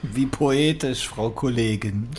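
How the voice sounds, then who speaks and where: A man's voice: mid-range voice, takes it slowly, a little mellow, a little hiss or hum, lightly acted. A man playing an eager, teasing young police detective in a naturalistic German radio crime drama, with a wry, conversational delivery.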